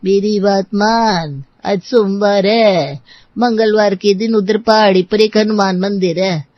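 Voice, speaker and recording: Woman acting older housemaid, sly and stubborn, colloquial servant speech, medium pitch, dry storybook recording. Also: slow, no echo, highs cut off, lightly acted. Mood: coaxing. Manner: colloquial, rustic servant's way of talking. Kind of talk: scripted audio story dialogue.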